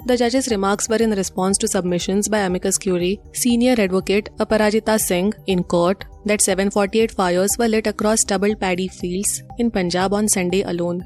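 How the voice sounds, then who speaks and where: A woman's voice: mid-range voice, steady tone, brisk, few pauses, a little mellow. Indian-accented woman reading news over music. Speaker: news presenter.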